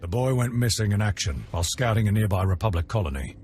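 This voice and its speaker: An actor playing a proud, stern warrior camp commander in a video game, formal and curt. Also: male voice, lowish voice, animated, fast, darkish tone, lightly acted. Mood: matter-of-fact.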